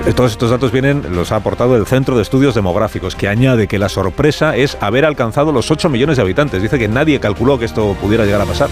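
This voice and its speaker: A man with a Castilian Spanish accent hosting a morning radio press review, conversational, with wry asides and rhetorical questions. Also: medium pitch. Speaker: radio host. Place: radio studio. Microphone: studio broadcast microphone.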